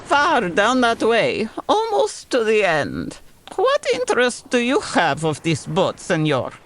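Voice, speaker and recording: A woman's voice: a little high, huge pitch swings, slow, a little hiss or hum, exaggerated voice. A woman playing an eccentric, world-weary old Cuban waterfront woman with a Cuban Spanish accent and dramatic, formal phrasing, in an audio comedy. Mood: deliberate.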